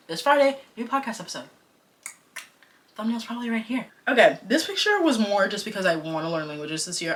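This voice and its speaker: Young woman, bright clear tone, casual slangy vlog chatter. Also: low voice. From United States. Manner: chatty, animated, slangy and self-joking. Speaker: vlogger and podcaster.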